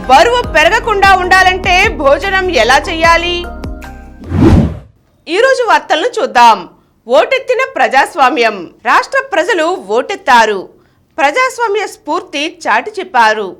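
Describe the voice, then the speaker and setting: A woman reading a scripted news bulletin as the news reader. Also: very high-pitched.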